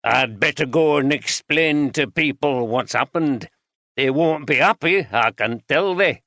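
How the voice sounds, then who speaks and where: Man voicing a blunt old brewery guide, broad Yorkshire, gruff, raised and loud, gravelly, audiobook. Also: a little high, measured pace, no echo, studio quality, pitched above the performer's own voice. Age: 60s.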